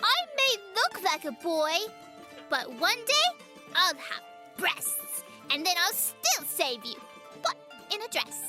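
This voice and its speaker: A woman's voice: very high voice, big pitch swings, takes it slowly, full character voice. A woman voicing a sweet, cheeky little girl, polite and eager, in an audio adventure drama. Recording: music behind.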